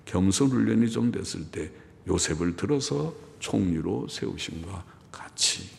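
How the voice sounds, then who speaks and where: A man's voice: sits low, lively intonation, measured pace, gravelly. A middle-aged man preaching a sermon as a pastor to his congregation, in a homely manner that mixes hesitant phrasing with emphatic exhortation. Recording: long hall reverb.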